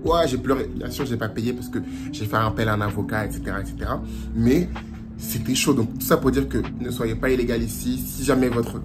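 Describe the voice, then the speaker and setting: Young french guy vlogging, mid pitch, chatty and animated, clear music bed behind him. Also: fairly quick. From France. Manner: chatty and animated, slips into Portuguese phrases. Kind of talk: casual advice vlog. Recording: music playing under the voice.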